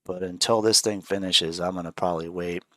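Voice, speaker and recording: A man with a head cold, sniffling a little as he walks through stock charts in a relaxed, rambling way with plenty of fillers. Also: low-pitched, a little fast, no room sound. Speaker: show host, trader. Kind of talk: casual chart analysis chat.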